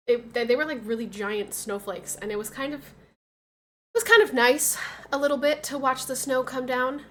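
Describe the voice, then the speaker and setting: A young woman with a nasal voice, stuffed up by a cold, talking to her viewers in a bright, slightly high register. She drifts loosely from story to story, packing her sentences with fillers and restarts. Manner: rambling, filler-heavy storytelling. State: has a cold, stuffy sinuses. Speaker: streamer. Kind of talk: casual livestream chat.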